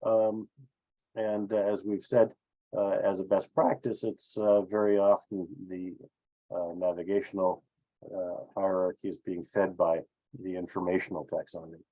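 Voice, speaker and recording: A man presenting an explanatory business webinar with slides, speaking haltingly with frequent filled pauses. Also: low voice, level tone. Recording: dull, lo-fi webinar audio.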